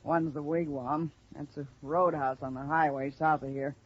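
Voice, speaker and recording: An American actress playing an offhand, evasive hotel keeper in a 1950s radio drama. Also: female voice, low voice, steady tone, moderate pace. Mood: uneasy, relenting. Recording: dull vintage 1950s radio recording.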